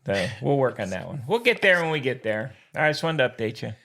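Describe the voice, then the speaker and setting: A man's voice: medium pitch, sing-song, quick pace, vocal fry, bright, forceful tone. A middle-aged man co-hosting a podcast in casual banter, folksy and slangy, playfully boastful and repeating himself for emphasis. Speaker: podcast co-host.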